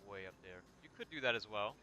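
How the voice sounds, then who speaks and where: Michigan-accented man, lowish voice, easygoing gaming chat, noisy capture: co-op host. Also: fast. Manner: relaxed, chatty, laughs easily. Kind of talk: casual multiplayer gaming chat.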